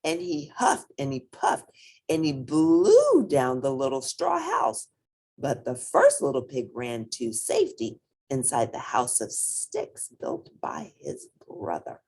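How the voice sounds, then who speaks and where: A woman reading a children's story aloud expressively, with drawn-out emphasis and playful asides. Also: extremely low pitch, huge pitch swings, gravelly, darkish tone, husky.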